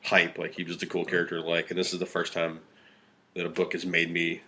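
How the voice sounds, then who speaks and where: Lowish-voiced man, rambling casual talk. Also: monotone, a little fast, pushed and bright. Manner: rambling, trails off often, swears casually. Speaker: podcast co-host. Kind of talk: casual chat.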